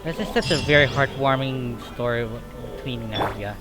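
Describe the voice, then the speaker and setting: A man streaming a game, giving casual, drawn-out, sentimental reactions. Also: mid-range voice. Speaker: gaming streamer. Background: game music.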